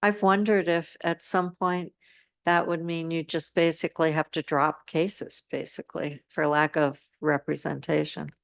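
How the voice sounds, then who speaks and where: A woman on a council giving soft, brief affirmations and a question. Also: deep voice, little pitch movement, a little slow, gravelly, dry recording, clean studio sound.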